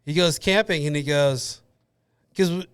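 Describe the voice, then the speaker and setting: A man's voice: on the high side, unhurried, a bit bright, clear, resonant tone, dry recording, studio quality. A man co-hosting a firearms podcast in casual chat, joking and cracking wise between stories. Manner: joking, wisecracking. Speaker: podcast co-host, firearms instructor.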